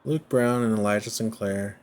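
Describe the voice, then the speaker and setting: A man giving casual gameplay commentary, thinking out loud in short, halting phrases. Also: lowish voice. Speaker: let's play host. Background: music.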